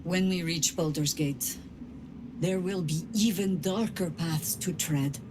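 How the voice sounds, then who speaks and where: A seasoned older female adventurer speaks as a resolute game companion. Her voice sits low and she takes her time, measured and earnest. Clear background noise surrounds her. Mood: warm resolve. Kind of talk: video game voice acting, dramatic dialogue.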